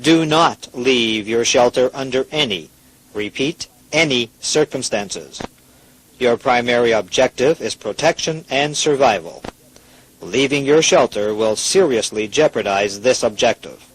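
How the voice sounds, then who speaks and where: An actor playing a stern official emergency announcer, reading scripted instructions in a formal, deliberate, authoritative way. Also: male voice, medium pitch, animated, breathy edge, rough recording.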